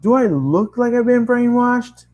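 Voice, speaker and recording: Man acting an indignant, shouting young hero. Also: very high voice, animated, measured pace, voice pushed up. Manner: shouting back.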